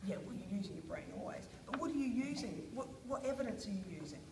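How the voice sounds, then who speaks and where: Australian woman teacher, slightly high voice, coaxing questions, echoey classroom with noise behind. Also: animated, fast talker. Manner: questioning, prompting, encouraging. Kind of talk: guided reading lesson with children.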